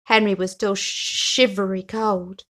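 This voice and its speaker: Lady reading a kids story out loud, expressive storybook narration, mid pitched voice. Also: slow. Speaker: story reader.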